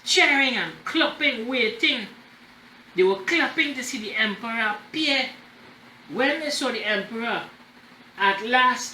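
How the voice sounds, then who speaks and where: A man hosts storytime with expressive, unhurried narration for children. His voice is bright with lively pitch movement, and he speaks deliberately, as if guiding young listeners. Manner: animated, deliberate, checking in with listeners. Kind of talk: expressive read-aloud with explanatory asides. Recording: roomy echo, faint background noise.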